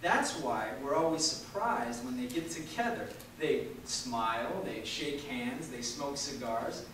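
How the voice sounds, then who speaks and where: A man reading a political speech aloud at a public event in an emphatic, oratorical way, with dramatic pauses. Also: on the high side, lively intonation, a bit bright. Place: large echoey room at a live university event. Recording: echoey hall from far off, faint noise.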